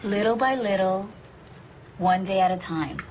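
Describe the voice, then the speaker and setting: Actress doing a caring daughter in her 30s nudging her mom, soft room hiss. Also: mid-range voice, measured pace, close to an everyday voice, low-quality recording. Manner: caring, coaxing. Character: adult daughter, caring and pleading.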